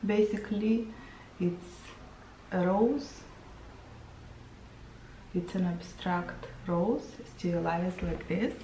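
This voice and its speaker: A woman in her thirties from Moldova, speaking English with a Romanian accent, chatting to camera while painting her face, often pausing for words. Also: on the low side, mellow, reverberant, slight background noise, low-quality recording. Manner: chatty, searching for words, with fillers. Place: small room indoors.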